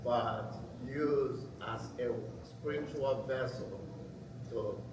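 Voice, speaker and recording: A man, an elder at a community ceremony, explaining a custom slowly with fillers. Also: medium pitch. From Nigeria. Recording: echoey hall, distant mic, faint noise.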